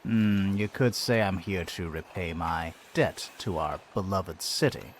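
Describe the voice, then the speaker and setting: A man's voice: low voice, unhurried, background noise. A man playing a sardonic, irreverent adventurer in a video game, with a laid-back, sarcastic delivery. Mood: wry, sarcastic. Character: sardonic, irreverent, self-deprecating male adventurer. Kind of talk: English video game cutscene acting.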